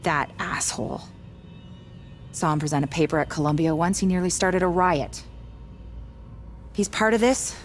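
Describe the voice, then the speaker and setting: A woman's voice: lowish voice, normal speed, long pauses, faint music behind, lightly acted. An actress playing a brusque, sardonic woman doctor and driven virus researcher in a video game cutscene. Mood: intense, determined. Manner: sarcastic, blunt, impatient.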